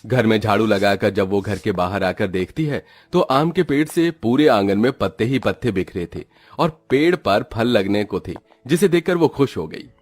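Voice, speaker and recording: A man's voice: on the low side, animated, normal speed. A man narrating a scripted moral story for a cartoon.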